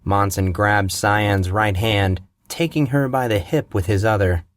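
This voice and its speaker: Slow, measured male storyteller. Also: lowish voice, dry recording, studio quality. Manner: measured, unhurried reading. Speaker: narrator. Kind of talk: audiobook fiction reading.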